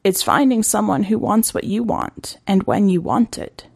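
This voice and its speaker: A woman puts on a male monster hunter in a dark storybook tale. He speaks in a breathy, deliberate hush with quiet menace and authority. The recording is dry and close. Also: mid-range voice, sing-song, no room sound, full character voice. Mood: quiet, knowing. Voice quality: breathy, whispery. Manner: hushed, deliberate.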